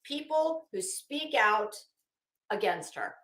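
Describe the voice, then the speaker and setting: A woman with a fairly high, very bright and forceful voice delivers an opinionated livestream rant. She is blunt and combative, leaning hard on her words and cursing freely. The room adds a clear echo around her voice. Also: sing-song, takes it slowly. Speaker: commentary livestream host. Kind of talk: opinionated live commentary, casual rant.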